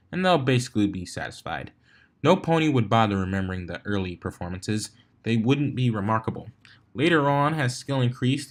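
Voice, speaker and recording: A man reading a fan fiction story aloud as narrator. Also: medium pitch.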